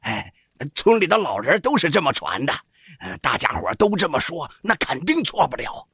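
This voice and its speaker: An actor playing an old village opera-troupe boss, folksy, worldly and cautious, with a raspy, sighing, drawling delivery in an audio drama. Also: male voice, fairly high pitch, wildly swooping pitch, normal speed, bright, forceful tone, no room sound, studio quality, heavily acted. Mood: weary, sighing. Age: older. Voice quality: raspy, creaky.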